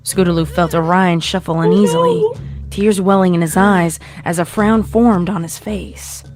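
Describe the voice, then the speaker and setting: A woman's voice: fairly low pitch, big pitch swings, moderate pace, a little mellow. A woman reading a scripted story as its narrator, in a dramatic storytelling style. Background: faint music.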